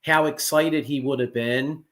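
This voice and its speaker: A man, hosting a casual sports chat, excitable and profane with lots of restarts. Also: medium pitch, measured pace. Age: 40s. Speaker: podcast host.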